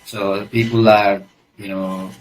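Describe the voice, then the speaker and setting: A man's voice: on the low side, level tone, slow pace, faint music behind, low-quality recording. A man from Nepal with a Nepali accent, giving hesitant, conversational answers as an interview guest, often adding "you know". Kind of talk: casual interview talk.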